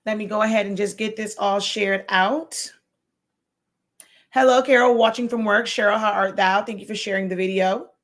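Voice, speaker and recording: A woman hosting a casual livestream chat, hoarse from losing her voice, greeting viewers with lots of laughs and fillers. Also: mid-range voice, fairly even intonation, normal speed, fairly bright tone. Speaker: host.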